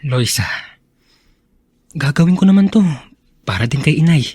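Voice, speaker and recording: An actor playing a dutiful, troubled young man in a Filipino radio drama, earnest and evasive, with a husky voice and Filipino accent. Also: fairly high pitch, lively intonation, normal speed, darkish tone, no room sound, studio quality.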